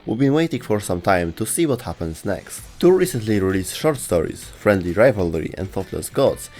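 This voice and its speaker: A man with a lowish voice narrates a scripted video as host. His pitch moves in a lively way, and soft music plays behind him. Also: normal speed.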